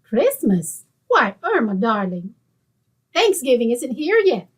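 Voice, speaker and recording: Woman playing a high-pitched, feisty older neighbour lady. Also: big pitch swings, takes it slowly, breathy edge, exaggerated voice. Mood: friendly. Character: feisty, easily offended middle-aged female neighbour. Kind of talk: old-time radio comedy acting.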